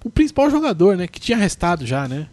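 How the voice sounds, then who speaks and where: A man's voice: high-pitched, very animated, fast, darkish tone. A man with a São Paulo Brazilian accent and a cold, hosting a casual sports podcast in a rambling, self-deprecating, slangy way. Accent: São Paulo Brazilian Portuguese. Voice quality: congested from a cold. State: has the flu. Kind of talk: casual sports news chat.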